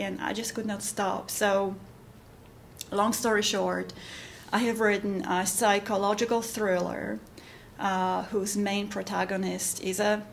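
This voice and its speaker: Middle-aged woman, Polish accent, creaky voice, unhurried pace, halting informal monologue, faint background noise. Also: mid-range voice. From Poland. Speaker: composer giving updates.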